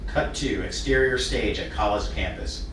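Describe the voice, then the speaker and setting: A man's voice: lowish voice, moderate pace, a bit bright. A man at a table read, reading out stage directions and chatting casually. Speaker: script reader at a table read. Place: echoey room, distant mic. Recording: roomy, distant, hissy room recording.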